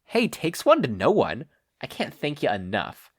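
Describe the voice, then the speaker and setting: A man acts out a breezy woman hero for a story reading. She is confident and encouraging, teasing in casual phrases, with a varied, lively pitch. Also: normal speed, slightly rough. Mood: upbeat.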